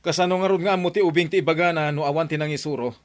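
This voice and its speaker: Man as a fast-talking, irritable uncle. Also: fairly high pitch, fairly even intonation, lightly acted. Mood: irritated. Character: irritable, suspicious uncle of a young girl. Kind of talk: radio drama acting.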